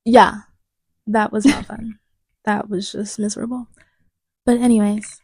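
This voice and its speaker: A young woman chatting casually as a podcast co-host, her voice at an ordinary pitch for a woman. She gropes for words and lets her sentences trail off, with a lingering cough she keeps holding in. The sound is dry and close. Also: flat delivery, a little slow, vocal fry, a little mellow, slightly rough, no echo. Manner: hesitant, searching for words, trailing off. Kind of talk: casual chat.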